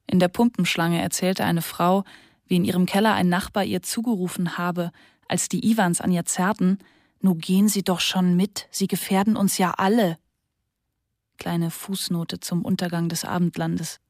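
Low-voiced woman reading documentary narration. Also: fairly even intonation, normal speed, darkish tone, dry recording, studio quality. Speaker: narrator and diary reader. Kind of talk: German radio documentary reading.

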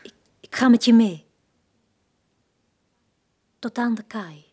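Young lonely woman, fairly high voice, very breathy and soft-toned, pleading, fragile, radio drama. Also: takes it slowly. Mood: longing. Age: young adult. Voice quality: breathy, husky.

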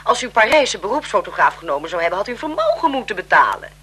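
Bright, prim, emphatic governess. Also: female voice, mid-range voice, very animated, fast talker. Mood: enthusiastic, emphatic. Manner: emphatic, earnest, respectful. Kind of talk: 1970s Dutch radio play acting. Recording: 1970s radio drama with faint noise.

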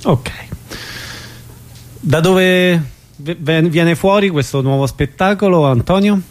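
A man's voice: on the high side, slow. A man hosting a casual radio interview, asking questions in an informal conversational way. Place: radio studio.

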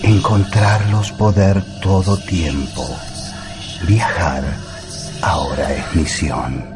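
An actor playing a calm, friendly old alien in a radio drama, speaking solemn, halting broken phrases. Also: male voice, low voice, over music. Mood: solemn, cryptic.

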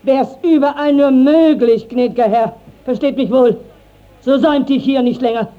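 A woman's voice: high-pitched, moderate pace, natural voice. An actress playing a garrulous, righteous village mother, declaiming in a classical German radio drama. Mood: bitter indignation. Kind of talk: classical German stage-style radio acting. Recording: dull 1950s radio drama recording.

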